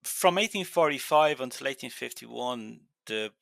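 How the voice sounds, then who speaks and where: A man's voice: mid-range voice, expressive, measured pace, creaky, a bit bright, no room sound. A male podcast host in casual conversation who hedges with lots of fillers before making his point.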